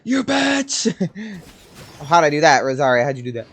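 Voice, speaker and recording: A gaming streamer giving casual, excitable, sweary reactions during a playthrough. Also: medium pitch, moderate pace, soft background music.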